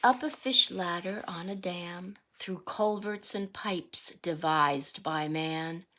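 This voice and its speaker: A woman reading a rhyming picture book aloud as a teacher would, deliberately, with explanatory asides and questions for young listeners. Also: on the low side, sharp tone, a little hiss or hum.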